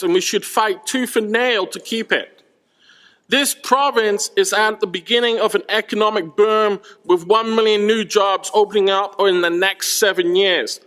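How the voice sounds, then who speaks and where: A man's voice: high voice, pushed and bright. A man speaking as a student at a rally, earnestly reading out a prepared speech. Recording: hall with long reverb.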